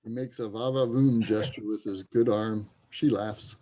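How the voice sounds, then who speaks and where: A man's voice: fairly low pitch, fairly even intonation, unhurried, warm tone. A man narrating a play, reading out scripted stage directions.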